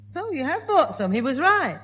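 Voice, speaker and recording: A woman's voice: sits high, big pitch swings, normal speed, fairly bright tone, slight background noise. An actress playing an anxious, fussing middle-aged mother in a 1970s radio sitcom. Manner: worried, fussing.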